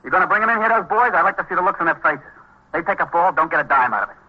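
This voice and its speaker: A husky-voiced wise-guy burglar rattles along quickly, tired and sardonic. He is blunt and unrepentant, sounding like a streetwise crook in a muffled old radio crime drama. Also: high-pitched, lively intonation. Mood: griping. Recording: muffled vintage radio recording.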